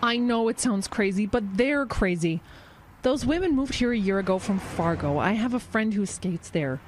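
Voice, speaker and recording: An actress playing a fretful, secretive friend in an audio drama, earnest and urgent. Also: female voice, medium pitch, lively intonation, moderate pace, natural voice. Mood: earnest, grave. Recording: faint background noise.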